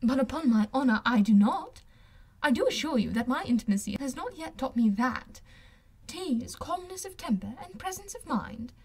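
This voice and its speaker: A woman's voice: sits high, expressive, moderate pace, a little mellow, husky, voice pushed up. A woman narrator acts out a coquettish, haughty young lady of society in an audiobook. She is affected and exclamatory, drawing out her words as if every remark were scandalous. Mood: affected protest. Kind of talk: audiobook character voice.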